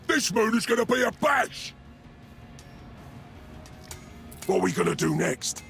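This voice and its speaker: An actor playing a brash, bloodthirsty ork warboss in a video game, shouting crude broken grammar. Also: male voice, extremely high pitch, vocal fry, exaggerated voice. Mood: gleefully violent. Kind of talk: video game character acting.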